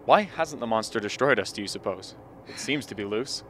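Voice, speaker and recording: An actor playing a logical, level-headed scientist in a radio play, matter-of-fact and grimly ironic. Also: male voice, lowish voice, lively intonation, brisk, a bit bright, a little hiss or hum. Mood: probing.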